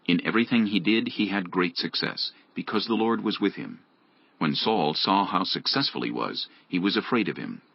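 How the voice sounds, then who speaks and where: A man narrating scripture dramatically from a script, in a gravelly, husky voice. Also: low voice, animated, moderate pace, no room sound, dull audio.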